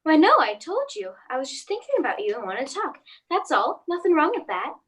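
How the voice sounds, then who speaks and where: A woman's voice: sits high, sing-song, brisk. A woman playing an anxious, hesitant suburban housewife and mother in a radio play. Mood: uneasy. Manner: hesitant, brooding, theatrical.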